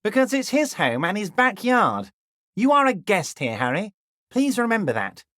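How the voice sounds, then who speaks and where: A smooth, ingratiating middle-aged union boss in a video game, slyly patronizing and always drawing out his words. His voice sits fairly high for a man and roams up and down expressively. It is recorded dry and close, with no room sound. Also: wildly swooping pitch, normal speed, a bit bright, no echo, exaggerated voice. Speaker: dock union leader character.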